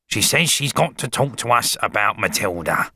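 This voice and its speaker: A man's voice: on the low side, expressive, moderate pace, bright, forceful tone, slightly rough, dry recording, studio quality, pitched above the performer's own voice, heavily acted. A man voicing a rude, curt middle-aged father in an audiobook, brusque and dismissive.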